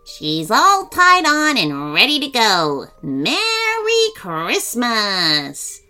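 A woman voicing a speedy, cheerful little male Christmas elf with a high-pitched voice in a storybook. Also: wildly swooping pitch, extremely slow, sharp tone, smooth, soft background music, heavily acted. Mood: chirpy, eager.

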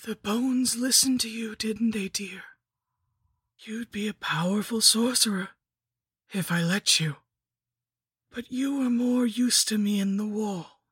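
A gleeful, cruel undead lich sorceress, as a storyteller puts her on, her voice raised high and rasping. She purrs mock endearments and sinks into breathy, airless whispers. The sound is close and dry, with no room around it. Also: expressive, slow, sharp tone, dry recording, full character voice. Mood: menacing. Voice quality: rasping, cackling. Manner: purring and mocking, dropping to breathy whispers.

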